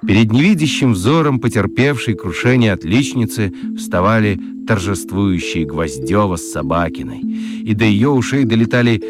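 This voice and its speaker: Deep-voiced, expressive male storyteller. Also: normal speed, music in the background. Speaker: narrator of a children's radio play.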